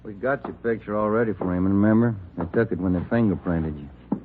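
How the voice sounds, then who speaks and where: Low-voiced, lecturing policeman, played by a man. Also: fairly quick, lightly acted. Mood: matter-of-fact. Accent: American. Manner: flat, admonishing, unruffled. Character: lecturing, matter-of-fact male police sergeant partner. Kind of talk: 1950s radio police drama acting. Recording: muffled, hissy old radio recording.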